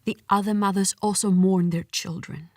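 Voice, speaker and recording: A woman's voice: medium pitch, steady tone, moderate pace, no room sound, studio quality, natural voice. A woman playing a sombre chorus figure of warning and lament in a poetic tragedy, grave and rhetorical. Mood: mournful.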